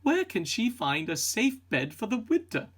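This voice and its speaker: A man narrating a children's picture book in a measured, suspenseful and expressive storytelling manner. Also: high voice, slightly rough.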